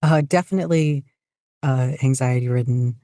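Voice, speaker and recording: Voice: medium pitch, normal speed, warm tone, no room sound, studio quality. A podcast guest chatting casually in an interview, hesitant and self-deprecating. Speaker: podcast guest, fan fiction writer and podficcer.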